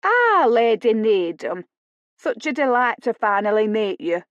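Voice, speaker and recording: A woman's voice: on the high side, very animated, unhurried, dry recording, dull audio, voice pushed up. A woman voicing a flamboyant Yorkshire lady in her fifties, effusive, familiar and needling in an audiobook. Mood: gushing.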